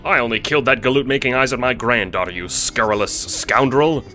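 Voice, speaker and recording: Man doing a forceful, blustering old grandpa. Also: mid-range voice, a little fast, faint music behind, voice pushed up, close to an everyday voice. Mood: defiant anger. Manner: pompous old-fashioned bluster. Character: gallant, protective old grandfather with a rifle. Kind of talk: audio drama character acting.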